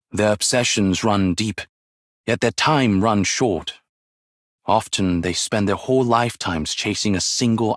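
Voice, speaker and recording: An actor playing a wise, weary, ageless martial arts master in a game, with measured, formal, poetic delivery and a husky voice. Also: male voice, on the low side, fairly bright tone, no room sound, studio quality, exaggerated voice. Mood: somber, reflective. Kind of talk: scripted game character voice lines, solemn and poetic.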